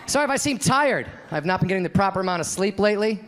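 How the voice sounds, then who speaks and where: A man in his thirties doing a deadpan stand-up routine on a comedy club stage, acting out the voices of the people in his stories, into a handheld mic. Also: sits high, rapid-fire, background noise. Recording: live club recording with crowd laughter. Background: audience laughter.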